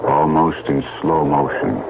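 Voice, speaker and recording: A man giving a grave, deliberate safety announcement in 1970s radio style. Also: very deep voice, flat delivery, vocal fry, over loud music. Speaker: public service announcer. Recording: muffled, very noisy vintage radio recording.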